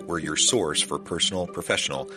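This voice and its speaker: A man hosting a podcast, interviewing a guest in a relaxed, friendly way. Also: sits low, brisk, music in the background. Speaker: podcast host.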